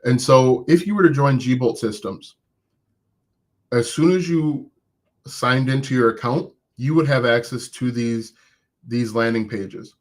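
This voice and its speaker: A man's voice: medium pitch, measured pace. A man coaching viewers live about online business, talking conversationally and encouragingly with frequent restarts, and saying he has an accent of his own. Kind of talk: live-stream coaching, answering chat.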